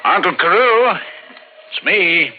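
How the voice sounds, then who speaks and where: An American actor playing a scheming, menacing crook in an old radio thriller, with a sly, mock-friendly, insinuating way of talking. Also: male voice, high voice, lively intonation, takes it slowly, heavily acted. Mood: falsely cheerful. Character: scheming, menacing crook after his uncle's money. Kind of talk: 1940s radio thriller acting. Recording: dull old radio drama recording.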